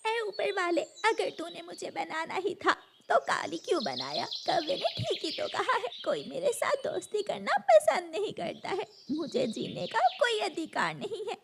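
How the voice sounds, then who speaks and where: A woman's voice: extremely high pitch, sing-song, normal speed, vocal fry, few pauses, background noise, voice pushed up, exaggerated voice. A woman voicing a meek, bullied young female bird in a cartoon story, pleading and plaintive. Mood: despairing. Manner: pleading, plaintive, self-pitying.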